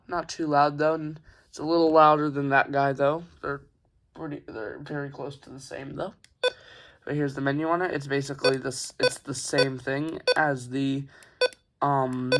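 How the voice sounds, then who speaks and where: A young man giving a casual, halting, informal product showcase as a hobbyist reviewer. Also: on the high side, fairly bright tone. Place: carpeted room at home.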